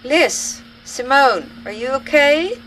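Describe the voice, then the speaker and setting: Woman playing flustered male driver, emphatic, louder and raised voice, radio play, noisy background. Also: sits high, sing-song, slow, pitched above the performer's own voice, heavily acted. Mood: concerned. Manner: emphatic, loud exclamations. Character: young man driver, excitable, frustrated and panicky. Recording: clearly noisy recording.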